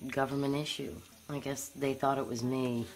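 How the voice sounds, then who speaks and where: An actress playing a tough, cynical young rebel in an audio drama, speaking in a wry, blunt way. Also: female voice, extremely low pitch, level tone, moderate pace, a little mellow. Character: cynical, tough woman, a captured rebel escapee.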